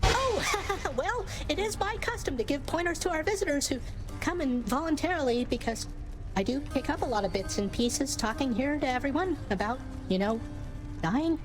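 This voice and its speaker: A woman plays a chirpy greeter of the dead in a video game. The voice is high and breezy, halting nervously while chatting cheerfully. Music is heard behind it. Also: lively intonation, rough recording. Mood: nervous cheer.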